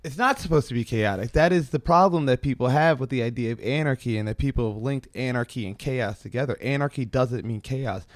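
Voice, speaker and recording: A man's voice: medium pitch, a little fast, hardly any pauses, no echo, clean studio sound. A man hosting a podcast in a casual, joking, profane and rambling way. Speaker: podcast host. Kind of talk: casual comedic chat.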